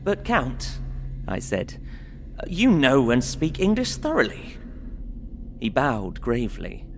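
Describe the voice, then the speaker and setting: A man's voice: mid-range voice, huge pitch swings, slow, a little hiss or hum. An actor playing a polite, earnest young English solicitor narrating his journal in an audio drama with formal, precise diction. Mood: polite, reassuring.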